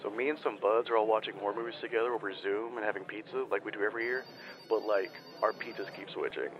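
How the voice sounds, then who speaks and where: A man's voice: lowish voice, fairly even intonation, quick pace, a crowd in the background, natural voice. A man playing a laid-back, baffled young dude calling a hotline in an audio drama, talking like a casual slacker over a muffled line. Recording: dull, muffled phone-call sound.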